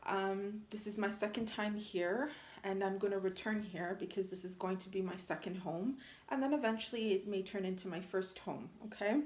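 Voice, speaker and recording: A woman in her thirties, originally from Armenia, with Armenian as her first language. She talks straight to camera in a casual, earnest way and keeps pausing to check in with listeners. Her mid-pitched voice carries a noticeable room echo. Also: a little mellow. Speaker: travel vlogger. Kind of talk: casual direct-to-camera monologue. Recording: roomy echo.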